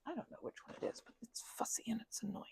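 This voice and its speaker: A woman rambling casually as an ASMR host, mumbling in a low-key, musing way, her voice hushed and often slipping toward a whisper. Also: racing, no echo, studio quality. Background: keyboard clicking.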